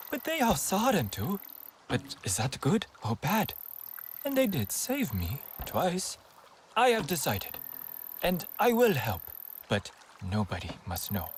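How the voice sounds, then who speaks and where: A nervous, curious alien scholar in a video game. His delivery is hesitant and swings widely in pitch, as if thinking aloud. There is faint noise behind him. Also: wildly swooping pitch, a little slow. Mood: flustered.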